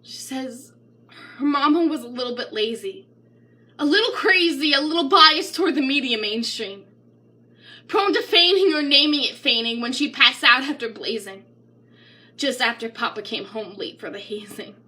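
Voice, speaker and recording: A woman's voice: high voice, animated, normal speed. A woman giving a dramatic, emotionally intense spoken-word poetry reading. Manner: intense, emotional, theatrical, swinging between hushed and crying out. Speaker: poetry reader.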